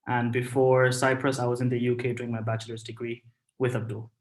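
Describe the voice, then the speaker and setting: A young man from Tanzania speaks as a student guest in a live chat. His voice is medium in pitch and very level, with little rise or fall as he introduces himself plainly. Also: completely flat delivery, brisk, low-quality recording. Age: young adult.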